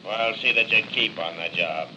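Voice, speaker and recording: An actor playing a cocky, menacing gangster with a tough, slangy American manner, in 1940s radio drama style. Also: male voice, on the low side, normal speed, close to an everyday voice. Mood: threatening, bossy. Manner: tough slangy talk, sneering. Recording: dull, noisy 1940 radio recording.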